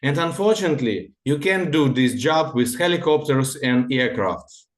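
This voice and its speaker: Male guest, halting delivery, roomy echo. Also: medium pitch, animated. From Russia-born, Ukrainian. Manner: halting, searching for words. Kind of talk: casual Q&A answers.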